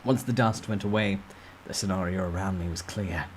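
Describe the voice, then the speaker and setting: A man's voice: deep voice, moderate pace, slight background noise. A man reading a fantasy novel aloud as a dramatic, suspenseful first-person narrator. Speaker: amateur story narrator.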